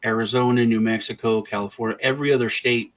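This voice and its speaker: A man's voice: medium pitch, fairly even intonation, fast. A man from Texas who grew up partly in Germany, chatting casually as a podcast host in a rambling way, often restarting his phrases. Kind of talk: casual political chat.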